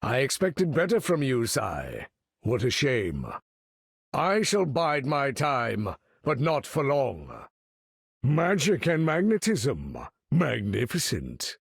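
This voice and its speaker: An actor playing a proud, imperious older mutant leader in a video game, speaking in a grand, commanding, formal manner with a husky, gravelly voice. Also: male voice, medium pitch, wildly swooping pitch, takes it slowly, dry recording, studio quality, heavily acted. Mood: haughty. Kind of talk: theatrical video game character acting.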